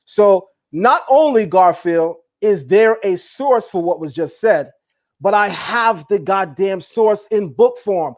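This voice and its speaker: A man's voice: high-pitched, animated, slow, dull audio. A man hosting a heated live debate, emphatic and boastful, repeating himself as he shows his sources. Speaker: host.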